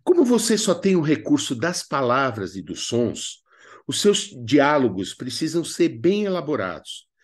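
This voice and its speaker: A man with a Brazilian accent presenting an instructional lesson in a didactic, expressive way with colloquial asides. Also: a little high, a little slow. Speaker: drama teacher presenting a video lesson. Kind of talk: instructional narration with acted examples.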